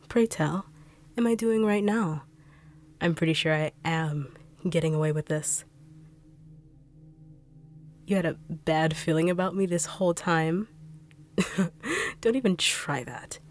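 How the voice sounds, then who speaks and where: A woman voicing a smug, cruel female treasure hunter who taunts in slow, purring tones with whispered asides. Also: fairly low pitch, close to an everyday voice. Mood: taunting. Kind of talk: audio roleplay drama.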